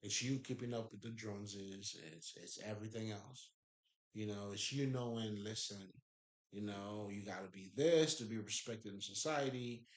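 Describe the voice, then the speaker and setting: A man's voice: on the low side, normal speed. Haitian-accented man in an echoey room. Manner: loose, profane, emphatic, laughs often. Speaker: spiritual speaker. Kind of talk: casual spiritual talk.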